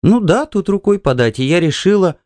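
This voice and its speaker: A man's voice: sits high, expressive, moderate pace, darkish tone, no room sound, voice pushed up. A man voicing a timid, evasive wife, hesitant and placating, in a dramatised audiobook. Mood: hurried, evasive.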